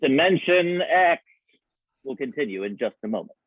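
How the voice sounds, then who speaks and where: A man playing a measured announcer for an old-time sci-fi radio show, with a muffled sound. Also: on the high side, big pitch swings. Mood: measured, dramatic. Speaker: program announcer.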